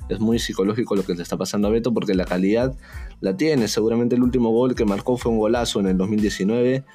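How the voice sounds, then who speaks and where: A man from Peru co-hosts a football talk show in a fairly low voice. He adds points in a thoughtful, hesitant way, often searching for the word and tossing questions to his partner. Faint music sits under his voice. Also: steady tone, fast talker, dark tone. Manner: thoughtful, hesitant, asks questions, many fillers. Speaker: sports show co-host. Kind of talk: casual sports talk commentary.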